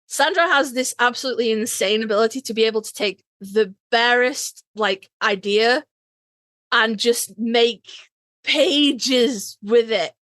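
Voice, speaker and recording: A woman's voice: on the high side, unhurried, fairly bright tone, no echo. A woman co-hosting a podcast in casual, slangy chat, swearing freely and telling stories in quoted exchanges. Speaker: podcast co-host.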